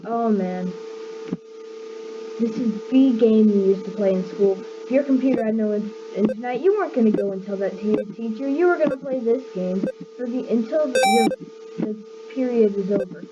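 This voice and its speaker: A young woman hosting her own gaming channel, giving casual, deadpan commentary in a voice she calls monotone. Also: on the high side, normal speed, very noisy. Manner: deadpan, self-described monotone. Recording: dull lo-fi sound with loud music.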